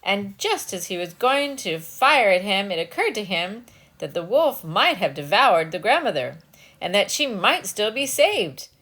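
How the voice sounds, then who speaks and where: A woman's voice: mid-range voice, sing-song, measured pace, sharp tone. A woman storyteller giving a dramatic scripted narration of a fairy tale.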